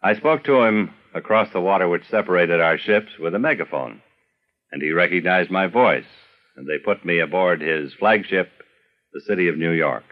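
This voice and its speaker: A man's voice: deep voice, expressive, moderate pace, narrow, tinny audio. An older man narrating a scripted documentary with some personal reminiscence, as a storyteller of past times.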